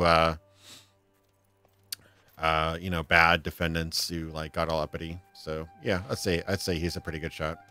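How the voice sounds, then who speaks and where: A man with a low, deep voice talks easily through a livestreamed game, chuckling often and answering his chat between moves. Soft game music runs underneath him from a home setup. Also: no room sound. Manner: chatty, laughs often, reads chat aloud. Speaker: livestream gamer. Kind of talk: casual let's-play chat. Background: faint game music.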